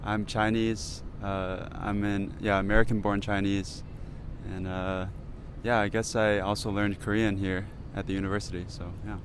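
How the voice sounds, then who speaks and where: Low-voiced young man, hesitant chat. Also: flat delivery, moderate pace, noisy background. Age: young adult. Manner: hesitant, many fillers. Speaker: pharmacy student panelist. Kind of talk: casual panel chat.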